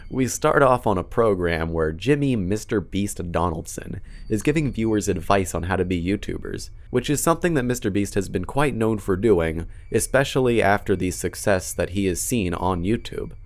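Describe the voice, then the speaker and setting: A man with a low-pitched voice delivers scripted video commentary. His delivery is casual and conversational, with a dry, sarcastic edge. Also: a little mellow. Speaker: video essay host.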